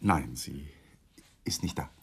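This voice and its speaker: An actor playing a troubled, polite married lawyer in a radio play, courteous and halting, often sinking into murmured brooding. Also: male voice, rumbling low, background noise, exaggerated voice. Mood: subdued, uneasy. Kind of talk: 1980s German radio play acting.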